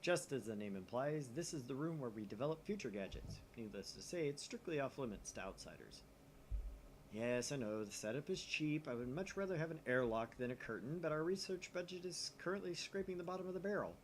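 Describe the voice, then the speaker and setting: A man's voice: medium pitch, animated, fairly quick, a little hiss or hum, close to an everyday voice, rough recording. Man playing a grandiose young mad scientist, dramatic swings. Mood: pompous. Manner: pompous, dramatic declaiming. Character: grandiose young self-styled mad scientist, male student.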